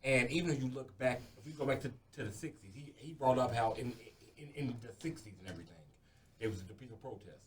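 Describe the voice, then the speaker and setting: A man's voice: medium pitch, fast. Outspoken man far from the mic. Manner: emphatic, outspoken. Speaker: podcast participant. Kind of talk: casual discussion. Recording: distant mic with faint background noise.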